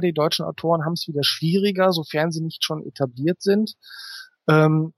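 A man with a fairly high voice answers interview questions casually. He explains thoughtfully, hesitating as he builds his sentences. The sound is close and dry. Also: no room sound. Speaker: interviewed fantasy author.

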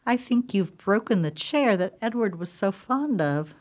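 A woman's voice: mid-range voice, lively intonation, measured pace, darkish tone. A woman acting a stern, impatient sister in a radio play, curt and scolding. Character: stern, impatient, sharp-tongued sister who scoffs at fear.

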